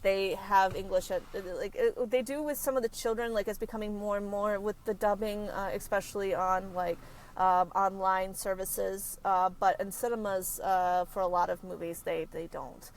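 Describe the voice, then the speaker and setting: A woman's voice: mid-range voice, steady tone, a little hiss or hum. A woman career consultant talking in a casual podcast interview. She stops and corrects herself often as she speaks. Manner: halting, frequent self-corrections.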